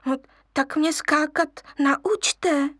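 A small boy lion cub, high and eager, as a woman voices him, wheedling to get his way. A storybook youngster with a pleading, bouncy manner. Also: steady tone, fairly bright tone, no echo, clean studio sound. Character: little male lion cub, eager future king.

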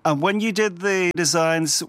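A man's voice: high-pitched, a little slow, fairly bright tone. A man interviewing a guest for radio, asking short, polite questions.

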